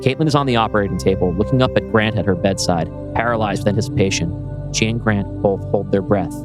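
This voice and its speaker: A man with a lowish voice reads a screenplay as narrator, setting scenes in a dramatic storytelling style. Music plays clearly behind him throughout. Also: fast. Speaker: writer and narrator presenting a script.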